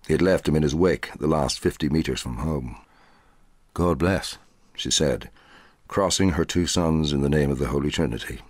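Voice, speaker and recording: A middle-aged man reading a short story aloud in a measured, dramatic way, with a husky voice. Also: rumbling low, darkish tone, no room sound, clean studio sound. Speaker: audiobook narrator.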